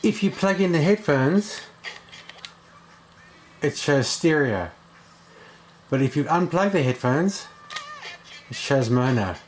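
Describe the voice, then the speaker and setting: A man's voice: fairly high pitch, animated, takes it slowly, long pauses, soft background music. A man talking casually and thinking aloud as he does a hands-on repair vlog. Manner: informal, thinking aloud while working. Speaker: video host and radio repair hobbyist. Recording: clear background noise.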